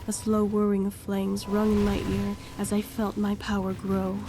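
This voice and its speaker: Girl acting young heroine telling her story, soft mellow tone, earnest, unsure, slight hum behind. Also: medium pitch, lightly acted. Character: hesitant young gifted woman, apologetic, narrating her own fight. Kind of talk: first-person story narration and audio drama acting.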